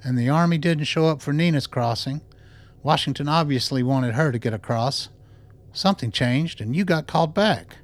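An actor playing a composed, principled doctor in a radio drama, speaking in a measured, clinical, matter-of-fact way. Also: male voice, on the high side, natural voice. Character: composed, principled male doctor running a secret lab.

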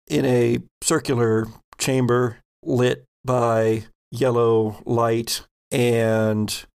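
Creaky-voiced man narrating slowly. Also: mid-range voice. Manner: halting, searching for words. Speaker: game master. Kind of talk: narrating a horror tabletop game, improvised.

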